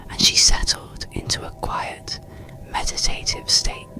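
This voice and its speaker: A narrator whispers a bedtime story in a slow, hushed ASMR style. The voice is breathy and mostly unvoiced, with heavy crackling fry underneath. The delivery is soothing and unhurried. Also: extremely high pitch, huge pitch swings.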